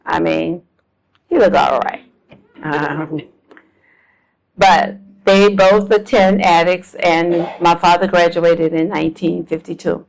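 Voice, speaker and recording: A woman's voice: on the low side, steady tone, slow pace, vocal fry, rough recording. A woman in her seventies from South Florida, telling her life story to an audience in a homespun way, with ums and self-deprecating jokes. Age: 70s. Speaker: guest speaker. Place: lecture room with a live audience.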